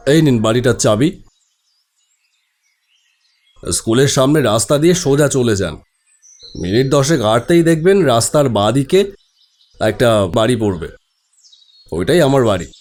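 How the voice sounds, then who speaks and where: A man playing a courteous male village headmaster in an animated story, reassuring and explaining things helpfully. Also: medium pitch, normal speed, pauses often, pitched below the performer's own voice, natural voice. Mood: courteous, obliging.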